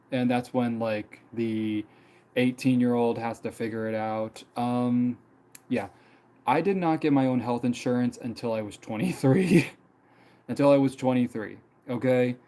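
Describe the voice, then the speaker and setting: Young man, mid-twenties, mellow relaxed tone, loose rambling live-stream chatter. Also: medium pitch. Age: 20s. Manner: rambling, many fillers and repeats. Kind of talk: casual personal storytelling.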